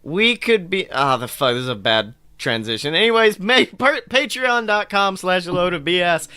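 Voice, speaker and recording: Bright-voiced man bantering on a podcast. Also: sits high, moderate pace, clear, resonant tone, no echo, clean studio sound. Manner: profane, jokey, animated reactions. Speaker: podcast co-host. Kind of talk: casual banter and mocking fan fiction read-aloud.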